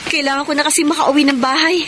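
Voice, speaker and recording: Actress as high-voiced devoted young wife. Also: female voice, steady tone, quick pace, very noisy, close to an everyday voice. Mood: polite, hesitant. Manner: earnest, polite, caring. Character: hardworking, devoted young wife working a factory job. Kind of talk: radio drama acting. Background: music.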